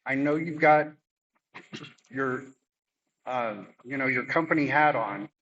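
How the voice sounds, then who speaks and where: A man's voice: mid-range voice, takes it slowly, a bit bright, dull audio. A man, a landowner, reading pointed, formal questions to utility staff at a public meeting. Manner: formal, pointed, reads prepared remarks. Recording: distant mic with faint noise.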